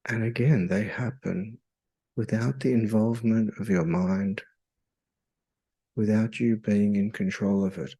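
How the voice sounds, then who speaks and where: Low-pitched man, guided meditation, very slow delivery, soothing, hypnotic, long pauses. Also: steady tone, warm tone, breathy edge.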